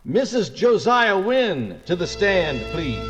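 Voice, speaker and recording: A man's voice: high voice, big pitch swings, slow, long reverb tail, over music, full character voice. A man playing an earnest, courteous small-town defense lawyer with formal, theatrical delivery in an old-time radio drama.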